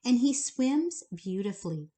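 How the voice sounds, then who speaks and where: A patient storybook mother duck, acted out by a woman reader, motherly and plain-spoken. Her pitch moves in wide, lively swoops. She is emphatic and protective of her young. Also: measured pace.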